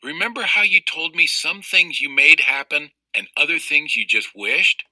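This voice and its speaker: A man's voice: on the high side, expressive, normal speed, pushed and bright, exaggerated voice, rough recording. A man voicing an anxious, questioning storybook child who speaks in an earnest, pleading way. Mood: curious. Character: anxious, questioning young child narrator of a novel.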